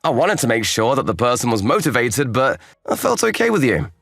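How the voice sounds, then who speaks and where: An actor playing an easygoing, kindly young stepbrother in a manga dub, narrating and speaking casually. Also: male voice, mid-range voice, sing-song, a little fast, vocal fry, a bit bright, dry recording, faint music behind, heavily acted. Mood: reassuring. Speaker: narrator and lead character.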